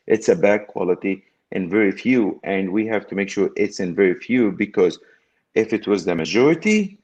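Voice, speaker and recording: A man's voice: lowish voice, expressive, moderate pace, a little mellow, husky, dull audio. A middle-aged man from Egypt, telling his story as an interview guest in an emphatic way that repeats phrases and checks in with "okay?".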